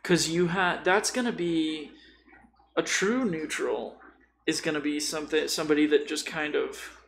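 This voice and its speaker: A man's voice: a little high, moderate pace, gravelly, a bit bright, slight background noise. A man chatting casually and bluntly about tabletop role-playing, with strong opinions, swearing and slang. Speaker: podcast guest.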